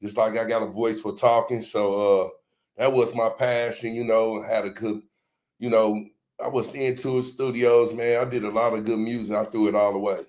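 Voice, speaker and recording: Brief: male interview guest, lower-pitched voice, easygoing and slangy. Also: moderate pace. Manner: relaxed, slangy, ends phrases with "man". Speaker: music promoter guest. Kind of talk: casual interview chat.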